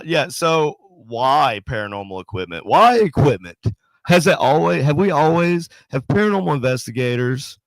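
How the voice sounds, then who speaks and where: A man co-hosting a paranormal podcast, chatting casually with a nasal, congested voice from a sinus cold, rambling and joking and giving animated shout-outs to viewers. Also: lowish voice, dry recording, rough recording. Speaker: podcast co-host. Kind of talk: casual chat.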